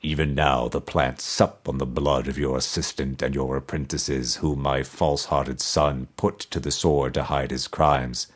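A man voicing the skull of a dead emperor with a resonant, toneless voice and a slow, commanding manner. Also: a bass voice, little pitch movement, pitched below the performer's own voice. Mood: cold commanding.

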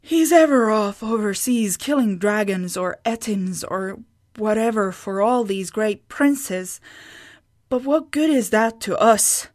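A woman voicing a practical, exasperated farm wife who speaks bluntly and indignantly, at a slow pace. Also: medium pitch, expressive, darkish tone. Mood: complaining.

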